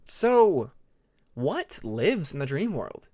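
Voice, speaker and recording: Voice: mid-range voice, sing-song, slow, mellow. A narrator voicing a young male dragon who is curious and uncertain, speaking in a hesitant, questioning way.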